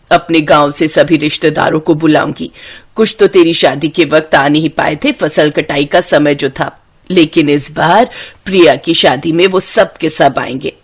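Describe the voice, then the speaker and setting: A strict mother-in-law in a cartoon story, played by a woman narrator. Her voice sits lower than the narration and moves in slow, firm phrases. She sounds commanding. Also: slightly rough, full character voice.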